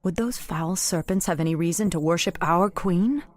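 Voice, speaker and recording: A loyal female elf lieutenant in a fantasy game, played by a woman, wary and earnest. Her voice is fairly low and recorded close and dry, with a questioning, deferential manner. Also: moderate pace, dry recording, natural voice. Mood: puzzled. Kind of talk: video game fantasy acting.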